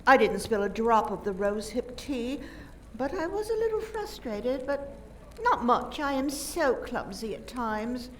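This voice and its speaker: An actress playing a clumsy, flustered, dramatic lady in a radio play, with a plaintive, halting delivery. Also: on the high side, big pitch swings, a little hiss or hum. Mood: earnest recounting. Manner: plaintive, drawn-out, halting self-corrections. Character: clumsy, flustered, dramatic young lady grieving her friend. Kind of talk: community theater radio play acting.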